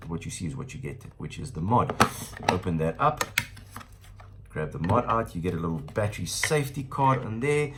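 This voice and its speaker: A man's voice: deep voice, noisy background. A South African man in his thirties with a stuffy nose from the flu, reviewing a product in a casual, rambling way with many fillers. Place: small room indoors at a table.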